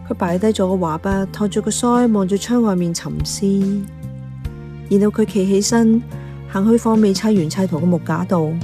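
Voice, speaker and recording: Actress as mellow female storyteller narrating. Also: mid-range voice, moderate pace, faint music behind, natural voice. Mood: calm, observant. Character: observant café worker telling the story. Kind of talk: scripted first-person radio drama narration.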